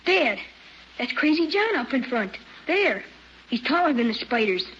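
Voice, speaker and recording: Woman playing a high-voiced anxious young American boy. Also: big pitch swings, a little slow, fairly bright tone, full character voice. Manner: anxious, earnest. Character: frightened, defiant young boy on frontier Mars. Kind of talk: 1970s radio sci-fi drama acting. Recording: dull radio recording, faint noise.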